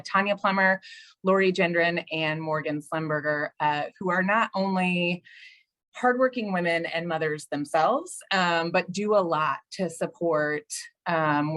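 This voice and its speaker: A woman hosting an online panel as program officer, friendly and filling pauses with ums and uhs over a video call. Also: deep voice, a bit bright. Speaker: program officer and webinar host. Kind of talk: webinar introduction.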